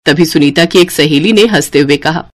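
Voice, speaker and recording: A woman's voice: low-pitched, normal speed. A woman narrating a scripted moral story as a storyteller.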